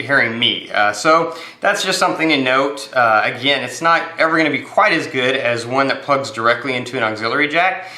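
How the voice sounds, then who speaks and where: American man, bright voice, mid pitch, casual reviewer, unscripted, hesitant explanatory phrasing, echoey room. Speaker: product reviewer.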